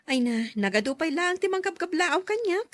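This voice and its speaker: A busy young Filipino mother, acted by a woman in a radio drama. She speaks in a high voice, closely recorded and dry, as a career-minded wife. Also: sing-song, moderate pace, a bit bright, dry recording, exaggerated voice. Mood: pleased, busy.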